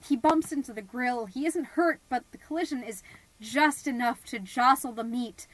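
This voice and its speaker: High-pitched, melodramatic suburban mom played by a woman. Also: normal speed, no echo, natural voice, rough recording. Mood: dramatic, urgent. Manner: theatrical, pompous, indignant. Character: melodramatic suburban mom, self-important party planner, easily exasperated. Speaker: podcast host character narrating. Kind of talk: comedic scripted monologue, storytelling.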